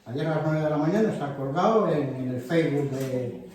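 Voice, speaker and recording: Man organizing a local meeting, mellow voice, rambling and indignant, captured from afar in a very echoey hall. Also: fairly high pitch, brisk. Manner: animated, rambling, indignant asides. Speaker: event organizer. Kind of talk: addressing a live audience. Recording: very echoey hall, distant mic.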